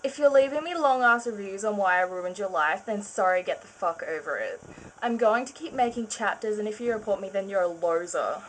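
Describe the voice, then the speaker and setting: A woman's voice: medium pitch, animated, brisk, slight background noise, rough recording. A woman giving a mock-dramatic reading of fan fiction, giggling and breaking off for sarcastic asides. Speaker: reader of her own fan fiction.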